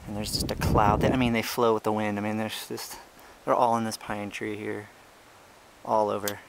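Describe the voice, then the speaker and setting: A young man casually narrating a hiking vlog outdoors on a trail, explaining things in a relaxed, chatty way with many fillers, recorded on the handheld camera he films with. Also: on the low side, moderate pace, gravelly, a little hiss or hum. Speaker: ant-keeping channel host. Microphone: handheld camera he is filming with.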